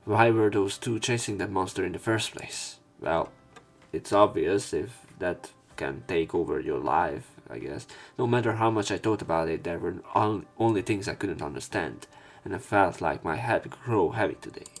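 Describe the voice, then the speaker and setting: A man's voice: sits low, moderate pace, gravelly, faint music behind. A man reading visual novel narration aloud as a let's play narrator.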